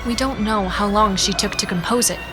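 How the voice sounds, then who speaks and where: An actress playing a grave storyteller, giving dramatic narration of a space saga. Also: female voice, medium pitch, brisk, lightly acted. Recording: music under the voice.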